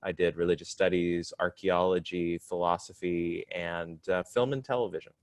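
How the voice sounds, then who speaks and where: A man's voice: sits low, steady tone, normal speed, slight background noise. A young man in his twenties as a podcast guest, explaining his studies in an articulate way with some self-deprecating humor. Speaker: podcast guest, divinity student. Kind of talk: casual interview chat.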